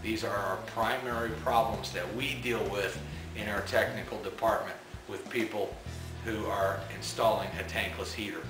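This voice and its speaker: A middle-aged man giving a patient, somewhat repetitive technical explanation to camera in a small utility room. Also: on the low side. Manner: patient explaining, repeats himself. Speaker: company technical presenter. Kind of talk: instructional talk to camera. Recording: echoey room with faint music.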